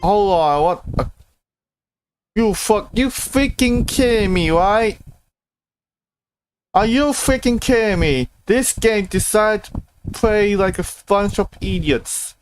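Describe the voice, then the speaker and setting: A man's voice: high-pitched, slow pace, noisy background. A man livestreaming a game, chatting casually and reacting informally with some swearing. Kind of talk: casual gameplay livestream chat.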